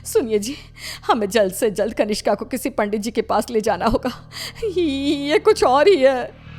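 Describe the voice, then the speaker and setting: A woman acts out an anxious mother in an animated story. The voice is high and very lively in pitch, doting but fretful and pleading. Music sits behind it. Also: a little slow.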